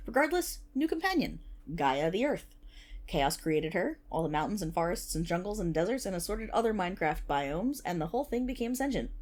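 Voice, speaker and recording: A woman acts out a playful, nerdy best friend explaining things in a casual ASMR roleplay. Her medium-pitched voice moves with very animated intonation. She rambles, interrupts herself and slips in wry asides to the listener. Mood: enthusiastic storytelling.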